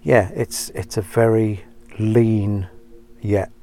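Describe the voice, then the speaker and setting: A man's voice: lowish voice, level tone, slow. A man being interviewed, answering thoughtfully with hesitations. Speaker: actor interviewed.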